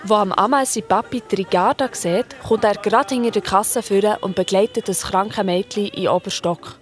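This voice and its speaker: A woman's voice: medium pitch, normal speed, faint music behind. A woman with a Swiss accent narrating a children's story in a colloquial style.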